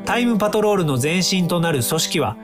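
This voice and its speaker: An actor in an audio drama playing an earnest, polite young time-traveling inventor who explains things courteously. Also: male voice, sits high, expressive, brisk, over music. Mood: calm, explanatory.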